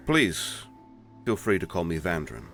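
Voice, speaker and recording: A man's voice: deep voice, very animated, measured pace, a bit bright, faint music behind, full character voice. A man playing a courteous, commanding werewolf pack leader in a fantasy audio drama, speaking formally. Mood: cordial, formal. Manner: formal, authoritative. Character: lordly male werewolf alpha, courteous and commanding. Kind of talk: scripted fantasy audio roleplay.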